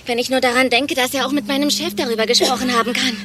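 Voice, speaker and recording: An actress playing a brash, bossy, foul-mouthed secretary in a satirical radio play, with a coarse, sarcastic way of talking. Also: female voice, high-pitched, fairly even intonation, fast talker, lightly acted. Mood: agitated.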